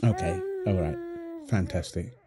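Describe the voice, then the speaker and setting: A man's voice: deep voice, huge pitch swings, a little slow, over a noisy crowd, full character voice. A man playing a tender, playful young husband and doting father in an ASMR roleplay, soothing and teasing as he talks. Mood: pleased, chatty. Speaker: voice actor of a roleplay audio. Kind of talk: ASMR boyfriend roleplay, acted one-sided dialogue.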